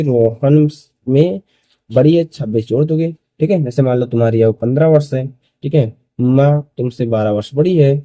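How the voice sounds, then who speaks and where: A man's voice: mid-range voice, mellow, dry recording. A man in his early twenties teaching school maths step by step, repeating phrases and asking questions that he answers himself. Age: early 20s.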